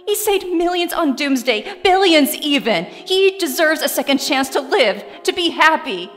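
A woman's voice: high-pitched, sing-song, unhurried, a bit bright, soft background music, exaggerated voice. A woman playing an earnest young heroine, impassioned and emphatic, in a superhero audio drama. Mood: pleading, earnest. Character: earnest young heroine pleading for a loved one. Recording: reverberant hall effect.

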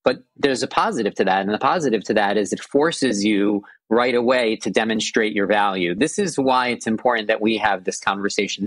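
A man's voice: lowish voice, no room sound. A man in his forties with an American accent, a lawyer speaking as a guest on a video call interview, earnest and repeating phrases for emphasis. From United States. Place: indoors, small room.

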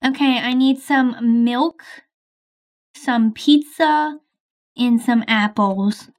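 Motherly mom voice in a roblox roleplay, a woman doing it, high pitched. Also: drawn-out words. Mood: casual. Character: caring mom of the household.

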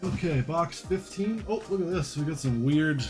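A man's voice: a little high, lively intonation, moderate pace. Casual man chatting over music. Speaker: trading card shop owner hosting an unboxing video. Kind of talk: casual rambling chat while opening card packs. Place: indoor room at a card-opening table. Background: music, crinkling card packs.

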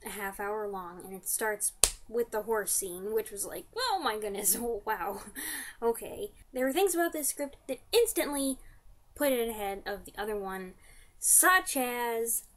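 A woman's voice: a little high, very animated, measured pace, slight background noise. A woman in her twenties chatting casually to camera, giving an enthusiastic fan review in informal slang. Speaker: vlogger reviewing radio drama. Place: small furnished room indoors.

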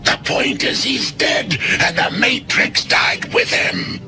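An actor playing an arrogant, defiant robot warlord in a cartoon film, with a harsh, raspy voice. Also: male voice, very high-pitched, expressive, slow, heavily acted. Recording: loud music behind.

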